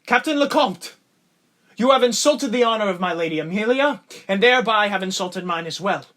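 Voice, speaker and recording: A man's voice: high voice, lively intonation, fairly quick, fairly bright tone. A man playing an earnest, aggrieved lieutenant in love in an audio drama, with declamatory, formal period diction. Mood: challenging, resolute. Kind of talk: stage-play acting in an audio drama.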